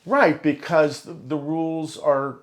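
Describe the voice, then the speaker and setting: A man's voice: fairly high pitch, fairly even intonation, unhurried. A man in a casual conversation, telling stories as an interview guest and acting out the remarks he quotes. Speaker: interview guest, long-time idol fan. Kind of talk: casual chat, storytelling.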